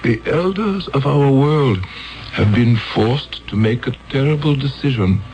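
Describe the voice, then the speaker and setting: A man's voice: mid-range voice, animated, darkish tone, low-quality recording. An actor playing a grave male elder of a peaceful alien world in a 1970s radio drama. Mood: solemn. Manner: solemn, measured.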